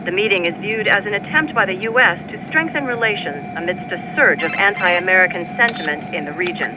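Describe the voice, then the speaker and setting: An actor playing a formal news reader in a video game, reading a scripted report in a measured way. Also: male voice, sits high, noisy background, close to an everyday voice. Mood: neutral, formal.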